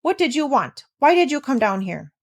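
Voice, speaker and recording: Woman reading as a nervous gentleman. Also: sits high, very animated, normal speed, pitched above the performer's own voice, exaggerated voice. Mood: anxious. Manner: anxious, questioning.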